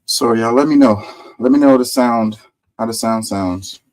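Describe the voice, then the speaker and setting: A man hosting, reading an earnest civic voice-over and chatting casually with his audience, saying "y'all". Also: medium pitch. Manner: earnest and measured in narration, loud and chatty when live. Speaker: host. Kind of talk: scripted voice-over narration and casual live talk.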